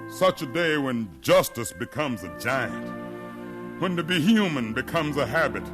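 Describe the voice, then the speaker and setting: A man's voice: mid-range voice, lively intonation, measured pace, lightly acted. An actor playing a proud, defiant freed slave facing his sentence, with solemn, impassioned oratory in a 1950s radio drama. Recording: 1950s radio recording, music behind.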